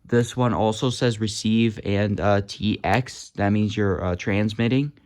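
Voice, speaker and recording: A man with a lowish voice walks through a gadget demo at home. He talks slowly and haltingly, filling pauses with uhs and ums as he fiddles with the devices in front of the camera. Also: fairly even intonation, darkish tone. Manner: halting, many uhs and ums, talks while handling gear. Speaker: hobby video host demonstrating walkie-talkies. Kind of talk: casual hands-on demo.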